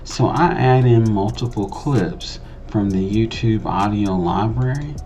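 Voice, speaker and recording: A man's voice: on the low side, measured pace, dark tone, slight background noise. A man giving a casual tutorial voice-over in a conversational, explanatory way, repeating phrases for emphasis. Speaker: host of a video editing tutorial channel.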